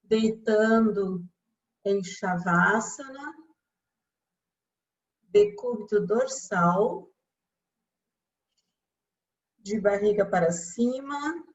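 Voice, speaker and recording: A Brazilian woman guiding a relaxation meditation as a yoga instructor, speaking calmly and soothingly with long pauses. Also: medium pitch, dull audio.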